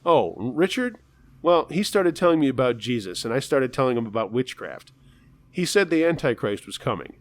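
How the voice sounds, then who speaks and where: A man's voice: medium pitch, very animated, moderate pace, lightly acted. Male narrator as a laid-back coworker, dry and matter-of-fact.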